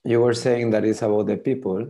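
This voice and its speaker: Middle-aged French-native man on a video call. Lowish, mellow voice, halting delivery with repeated words. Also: fairly even intonation. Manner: hesitant, repeats words while thinking. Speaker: tool demonstrator. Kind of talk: casual video call demo.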